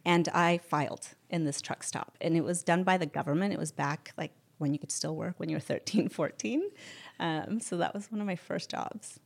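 Chatty young woman with a gravelly voice. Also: fairly low pitch, moderate pace. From Spain. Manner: candid, chatty, laughs often, many ums. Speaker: interview guest. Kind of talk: casual interview chat.

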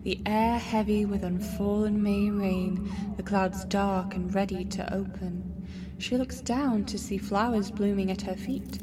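A woman narrator reading a scripted fantasy story in the present tense, in a measured, atmospheric way. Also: mid-range voice. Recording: clear background noise and ambience.

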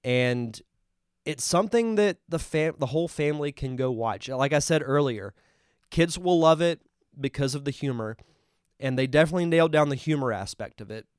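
A man's voice: on the high side, animated, moderate pace, clean tone, no echo, studio quality. A man with a congested voice hosting a live podcast, chatting casually and enthusiastically as he reviews a film. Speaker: podcast host.

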